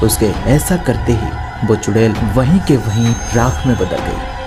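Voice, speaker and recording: A man's voice: mid-range voice, expressive, unhurried. A man narrating a scripted horror story as a storyteller. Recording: music behind the voice. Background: background music.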